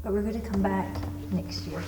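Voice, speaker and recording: A woman's voice: medium pitch, steady tone, fairly quick, warm tone. A woman working as a TV news reporter, narrating from a script and interviewing.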